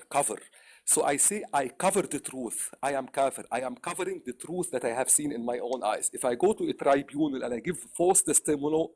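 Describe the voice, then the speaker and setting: Arabic-accented male caller, raspy and gravelly, halting and repetitive delivery. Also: medium pitch, darkish tone. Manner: halting, repeats words, many fillers. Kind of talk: live debate call.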